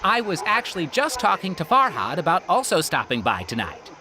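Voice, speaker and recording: Playful young female performer, video game dialogue, very animated, noisy ambience. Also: fairly low pitch, fairly quick. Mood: playful, teasing. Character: playful teasing theater performer, bubbly young woman.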